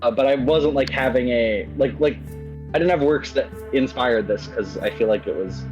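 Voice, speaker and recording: A man's voice: mid-range voice, normal speed. Hesitant, stammering man, slightly muffled. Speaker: game writer. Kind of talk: casual interview chat. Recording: slightly dull lo-fi mic. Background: background music.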